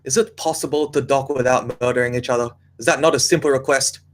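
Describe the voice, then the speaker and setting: A man's voice: medium pitch, steady tone, quick pace, a little hiss or hum, lightly acted. A man at a live table read playing an exasperated, commanding ship's captain.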